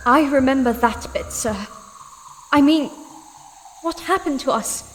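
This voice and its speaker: A woman playing a young, rattled, dutiful female space marine crewman in an audio drama, speaking haltingly. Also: sits high. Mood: anxious, searching. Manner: halting, stammering under pressure. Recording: long reverb, music underneath.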